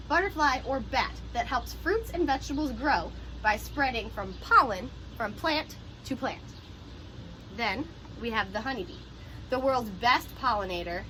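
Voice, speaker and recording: Woman, high voice, teacher doing a children's read-aloud with simple explanations, noticeable background noise. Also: bright, forceful tone, rough recording.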